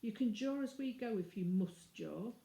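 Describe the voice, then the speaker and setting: A woman's voice: a little high, lively intonation, gravelly, mellow. A woman voicing an eager, bossy schoolboy in a storybook reading. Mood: bossy, impatient. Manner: eager, brisk, impatient. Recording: roomy indoor echo.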